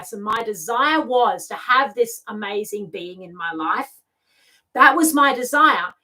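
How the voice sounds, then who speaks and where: A woman's voice: on the high side, bright, forceful tone. A middle-aged woman with an Australian accent giving informal spiritual guidance to camera as a tarot reader, stressing her points with repetition. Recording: distant microphone.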